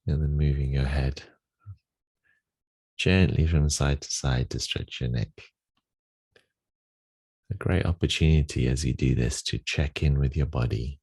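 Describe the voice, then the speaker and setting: A man with a soft, husky voice guides a meditation, giving gentle instructions with long pauses. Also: very deep voice, fairly even intonation.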